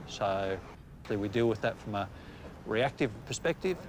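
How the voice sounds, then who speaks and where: A middle-aged Australian man, a police area commander, speaking in a measured way with many "uh" pauses in a documentary interview outdoors by a road. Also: fairly low pitch, expressive, background noise.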